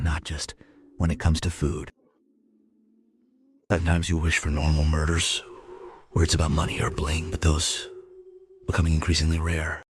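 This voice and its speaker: An actor playing a world-weary police investigator in a crime radio play, recounting a case in a reflective, deliberate manner. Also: male voice, rumbling low. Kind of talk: German crime radio play acting, narrated monologue.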